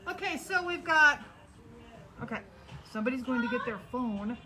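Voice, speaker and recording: A woman's voice: high-pitched, expressive, background noise. A woman co-hosting a live show from a small shop, chatting casually in a teasing, wry way. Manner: teasing and wry, drawn-out emphasis. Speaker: co-host of a live store show. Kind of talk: casual chat.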